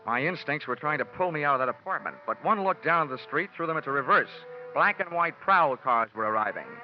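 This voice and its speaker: An actor playing a wisecracking, hardboiled private investigator in a classic radio detective play, with a wry, clipped, slangy delivery. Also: male voice, on the high side, expressive, brisk, faint music behind, natural voice. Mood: tense narrating. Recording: muffled vintage radio recording.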